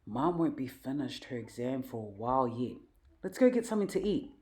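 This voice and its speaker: A woman's voice: extremely low pitch, very animated, fairly quick, a little mellow. A woman voicing a friendly, patient father who explains things in a storybook. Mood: easygoing.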